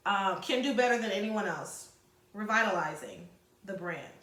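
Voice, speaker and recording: A woman teacher leading a read-aloud lesson, informally addressing pupils with explanatory asides and slightly halting reading. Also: mid-range voice, animated, fairly bright tone. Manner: halting, self-correcting reading. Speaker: sixth-grade teacher. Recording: echoey room.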